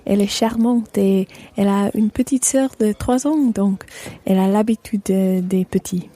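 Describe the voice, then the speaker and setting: Woman playing very mellow French mother. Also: mid-range voice, moderate pace, lightly acted. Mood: friendly, curious. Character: kind, chatty French wife and mother hosting friends. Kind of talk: scripted educational radio play.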